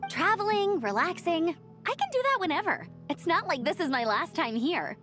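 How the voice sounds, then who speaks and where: Upbeat, high-pitched young adventurer. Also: female voice, sing-song, normal speed, a bit bright, exaggerated voice. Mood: happy, encouraging. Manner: chatty, enthusiastic, encouraging. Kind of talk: video game character dialogue. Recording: music under the voice.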